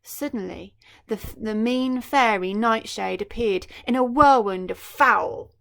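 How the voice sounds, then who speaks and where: A woman's voice: on the high side, unhurried, creaky, fairly bright tone. A woman primary school teacher reading a story expressively, with explanatory asides for young children.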